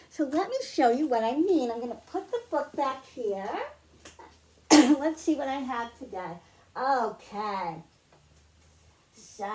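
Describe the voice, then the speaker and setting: A woman's voice: high voice, a little mellow. A woman presenting a science storytime for young children, explaining simply and putting questions to her listeners. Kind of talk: storytime read-aloud and hands-on lesson for young children.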